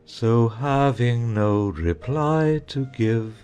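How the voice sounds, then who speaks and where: An actor playing a dreamy, gentle knight who recites a nonsense ballad theatrically over music. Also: male voice, mid-range voice, drawn-out words, clear, resonant tone. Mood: absent-minded. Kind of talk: slow theatrical verse recital.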